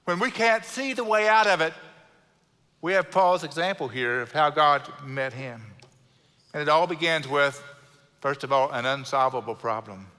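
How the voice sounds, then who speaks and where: A middle-aged man with a South Houston Texan accent, preaching a sermon in an earnest way, slowing down to stress key words and adding light self-deprecating humor. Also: fairly high pitch, pushed and bright, smooth. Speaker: pastor. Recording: long hall reverb from a church sanctuary.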